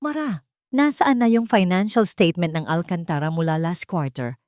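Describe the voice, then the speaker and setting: A woman's voice: lowish voice, normal speed, darkish tone, no echo, clean studio sound, natural voice. A woman storyteller voicing an angry, fearful husband who snaps and accuses in a narrated drama. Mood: angry, scolding. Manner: snapping, accusing.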